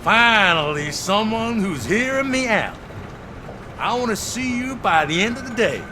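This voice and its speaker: Game role: indignant store owner, high voice, very slow, demanding, noisy surroundings. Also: male voice, very animated. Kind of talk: video game cutscene acting.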